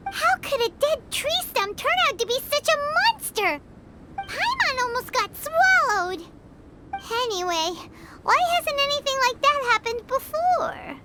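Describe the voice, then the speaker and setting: A woman voicing a tiny, excitable, cheeky childlike fairy girl in an anime game dub. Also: very high-pitched, measured pace, noisy background, exaggerated voice. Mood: indignant. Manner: refers to self by name, exclaiming.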